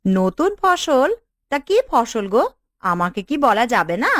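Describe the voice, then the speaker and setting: A woman's voice: on the high side, big pitch swings, a little slow, a bit bright, no room sound, studio quality. A woman voicing a mocking, nosy village auntie in a cartoon, skeptical and taunting. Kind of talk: cartoon dialogue.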